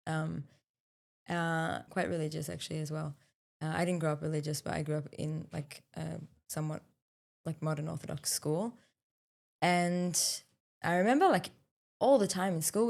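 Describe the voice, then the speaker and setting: A young woman, co-hosting a podcast and talking thoughtfully with many pauses and restarts. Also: deep voice. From South Africa, raised in Australia. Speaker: podcast co-host. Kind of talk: casual podcast conversation.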